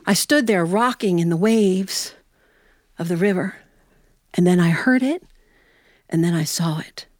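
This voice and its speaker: An American woman storyteller telling a comic tall tale in an animated way, with dramatic pauses and drawn-out words. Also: lowish voice, dry recording, studio quality. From Ohio, United States. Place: studio in front of an audience.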